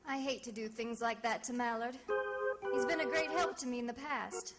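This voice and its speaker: A woman's voice: a little high, steady tone, normal speed, close to an everyday voice. An actress playing a breezy, shrewd female private eye in old-time radio detective drama, wry, glib and teasing. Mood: wry narrating. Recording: vintage radio drama recording with music.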